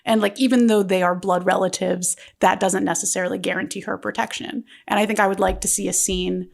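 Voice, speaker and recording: A woman's voice: medium pitch, fairly even intonation, brisk, vocal fry. A woman in a casual group discussion, thinking aloud as she goes.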